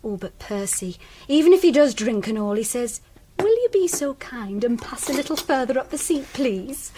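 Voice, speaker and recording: A woman's voice: fairly high pitch, animated, moderate pace, background noise, lightly acted. An actress playing a chatty, artless young working-class woman in a radio drama. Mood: chatty, dreamy. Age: young adult. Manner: artless, dialect turns of phrase. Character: chatty young working-class household girl weighing her suitors.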